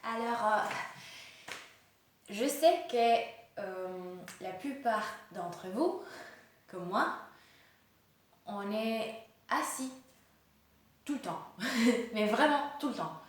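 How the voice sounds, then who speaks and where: A woman in her late twenties leading a guided yoga class in a gentle, hesitant way, with many fillers and restarts. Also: on the high side, animated, extremely slow, long pauses. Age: late 20s. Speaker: yoga instructor. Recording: very echoey room, voice far from mic.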